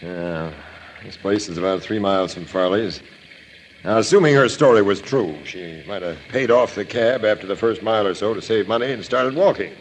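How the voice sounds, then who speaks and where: An actor playing a brisk, no-nonsense police captain giving orders and questions in a 1940s radio crime drama. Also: male voice, fairly low pitch, lightly acted. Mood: businesslike. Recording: faintly noisy old radio recording.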